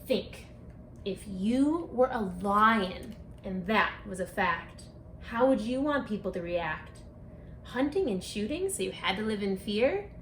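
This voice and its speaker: A woman reading a rhyming picture book aloud for children, speaking expressively and deliberately, straight to her young listeners. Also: mid-range voice, animated. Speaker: children's book author and read-aloud presenter. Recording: echoey room with faint background noise.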